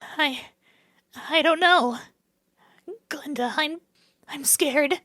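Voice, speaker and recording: A woman's voice: high voice, very slow, bright, forceful tone, no echo, full character voice. A woman in a fan dub playing an anxious, earnest young royal with a stammering, emotional delivery. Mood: scared, upset.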